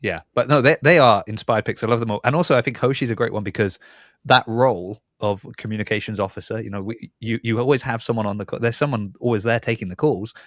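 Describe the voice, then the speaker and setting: Podcast host guy, lowish voice, really dry sound with no room, chatting casually. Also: quick pace, fairly bright tone, no room sound. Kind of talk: casual interview chat.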